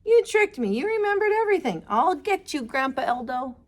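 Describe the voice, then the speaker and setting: Storybook young male elephant played by a woman: cheerful, insistent grandson, high and very animated. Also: brisk. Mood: mock outraged. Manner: bright, eager, playfully insistent.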